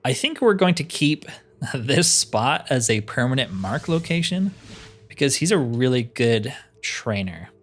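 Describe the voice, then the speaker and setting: A man's voice: mid-range voice, big pitch swings, measured pace, soft background music. A man giving casual, easygoing game commentary in a chatty, slangy way. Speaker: gaming host playing a roleplay playthrough.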